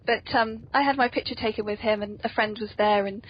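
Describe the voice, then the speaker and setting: A woman's voice: medium pitch, fairly even intonation, a little fast. A woman hosting a casual, playful interview chat, talking in a self-deprecating way. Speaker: podcast host and author. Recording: dull lo-fi podcast audio.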